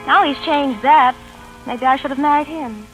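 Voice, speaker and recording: An actress playing a teasing, adoring young wife in a 1940s radio drama. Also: female voice, high-pitched, moderate pace, phone-quality sound, close to an everyday voice. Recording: old radio recording with music behind.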